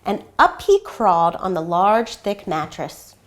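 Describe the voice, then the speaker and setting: Teacher lady reading a kids storybook, super lively intonation, explains and asks stuff. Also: medium pitch, unhurried. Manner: expressive, instructive. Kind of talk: children's storybook read-aloud with questions.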